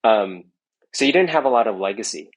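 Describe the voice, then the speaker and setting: A man's voice: on the low side, expressive, brisk, husky. A middle-aged man, an entrepreneur guest in a casual interview, rambling with many restarts and tag questions. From United States.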